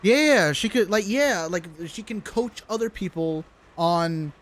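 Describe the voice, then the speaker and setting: Very animated woman livestreaming. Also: medium pitch, a little slow. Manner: animated, informal, swears, chats to viewers. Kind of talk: casual game stream commentary.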